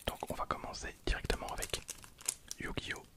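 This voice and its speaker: Man in his twenties, breathy whisper, soft ASMR commentary, hesitant, repeats phrases. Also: moderate pace. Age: 20s. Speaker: ASMR video host.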